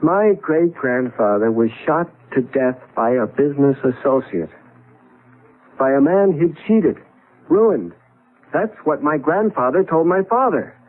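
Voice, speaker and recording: An actor playing a desperate, insistent husband trapped in the past, in 1970s radio drama style on a thin old broadcast recording. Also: male voice, on the high side, expressive, unhurried, phone-quality sound. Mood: grim, resigned. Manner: urgent, insistent, emphatic.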